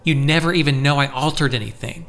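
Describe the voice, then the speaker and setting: A man's voice: a little high, quick pace, a bit bright, soft background music. An actor playing a jealous, brooding man with uncanny powers in an audio drama, speaking in a tense, defensive way. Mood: frustrated, defiant.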